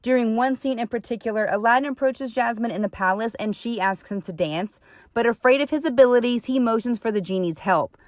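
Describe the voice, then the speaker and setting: A woman's voice: on the high side, brisk, dry recording, clean studio sound. A woman hosting and reading scripted narration in an upbeat presenting tone. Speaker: host.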